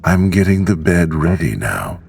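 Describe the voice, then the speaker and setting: A man voicing an eager, curious little girl who calls out brightly in a bedtime story. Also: low voice, measured pace, vocal fry, a little hiss or hum, pitched above the performer's own voice, exaggerated voice. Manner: bright, calling out excitedly.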